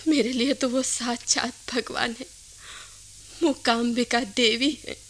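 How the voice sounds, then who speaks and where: High-pitched, tremulous poor village mother. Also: steady tone, measured pace, a bit bright, slight background noise. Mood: hushed, pleading. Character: poor tearful village mother, humble and devoted. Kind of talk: melodramatic radio play acting.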